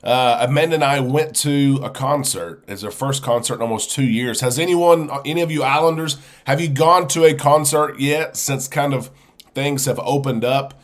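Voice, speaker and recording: A man's voice: mid-range voice, normal speed. A man hosting a live show, chatting casually and greeting viewers one by one, congested and sniffly from a chest cold. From United States. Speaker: host.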